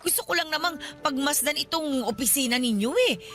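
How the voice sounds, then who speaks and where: An elderly Filipina godmother in a radio drama, high-pitched and piercing. She is nosy and grasping, laying on guilt with wheedling, dramatic reproach. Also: expressive, a little fast, sharp tone, soft background music. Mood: nosy, boastful. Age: older.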